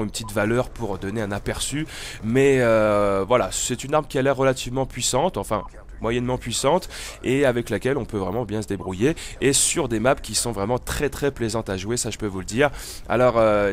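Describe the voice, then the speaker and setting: Man with a cold, noisy gaming commentary. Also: mid-range voice. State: has a cold. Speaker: gaming video host. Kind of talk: casual gameplay commentary.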